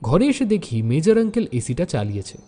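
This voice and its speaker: A man narrating a detective audio story in the first person as an earnest university student, expressive and dramatic. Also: fairly high pitch, brisk, long reverb tail. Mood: mildly irked.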